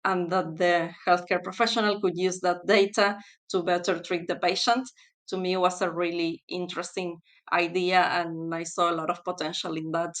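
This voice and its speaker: Woman on a podcast, smooth clear voice, thinks out loud with lots of ums. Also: lowish voice, level tone. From Argentina. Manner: thoughtful, with fillers and pauses. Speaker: guest, textile specialist. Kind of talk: podcast interview.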